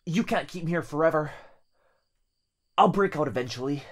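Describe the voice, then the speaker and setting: A man plays an earnest young boyfriend trapped as a captive in a roleplay drama. The voice sits noticeably higher, strained and urgent. He sounds protective, distressed and defiant. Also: normal speed, natural voice. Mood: defiant, angry.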